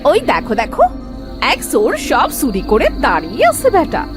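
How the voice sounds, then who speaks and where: A woman voicing a shrill, frightened village housewife in a cartoon, wailing melodramatically. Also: wildly swooping pitch, moderate pace. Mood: alarmed. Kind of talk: Bengali cartoon voice acting. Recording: music behind.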